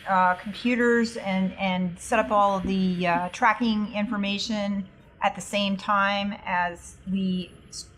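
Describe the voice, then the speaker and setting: Woman role-playing, medium-pitched voice. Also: a bit bright, slight background noise, rough recording. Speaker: tabletop game player. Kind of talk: casual in-character improvised role-play.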